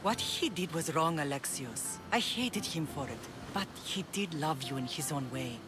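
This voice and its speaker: An actress playing a middle-aged mother who leads a Greek island, speaking in a measured, earnest, formal way in a dramatic game scene. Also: sits low, animated. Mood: tender regret. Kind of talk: dramatic game cutscene acting. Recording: music behind the voice.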